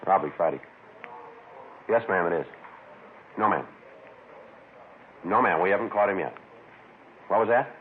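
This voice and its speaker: On a noisy old 1950s radio recording, a terse police detective with a very deep voice. He is clipped, businesslike and deadpan, questioning and narrating with flat matter-of-fact economy. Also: normal speed, pauses often, narrow, tinny audio.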